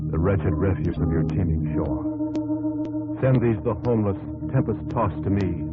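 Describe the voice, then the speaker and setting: A deep, fatherly American narrator declaims in solemn, measured phrases. It is a 1950s radio drama, with a narrow old sound and loud organ music. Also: heavily acted. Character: fatherly, solemn male narrator guiding a young man.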